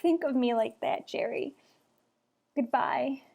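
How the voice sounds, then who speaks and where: A woman's voice: high voice, slow, creaky, darkish tone, full character voice. An actress playing a doomed young woman stowaway in an audio drama, tender, wistful and resigned. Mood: tearful, tender.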